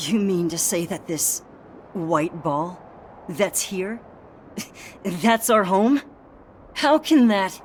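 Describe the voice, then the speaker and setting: A woman's voice: lowish voice, very slow, long pauses, a little hiss or hum. A woman voicing a brusque, dutiful soldier in a video game, with a curt military manner. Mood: scornful. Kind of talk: video game cutscene acting.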